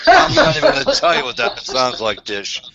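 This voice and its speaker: A man's voice: mid-range voice, wildly swooping pitch, fairly quick, creaky, muffled recording, over a noisy crowd. A man hosting a casual online group chat from home, joking, laughing often and swearing freely. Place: at home, wife in the back room.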